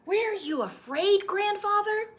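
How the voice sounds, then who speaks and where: A woman's voice: very high-pitched, animated, slow pace, pitched above the performer's own voice, heavily acted. A woman voicing an earnest, eager young boy who asks pleading, insistent questions in a storybook read-aloud. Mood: eager, curious.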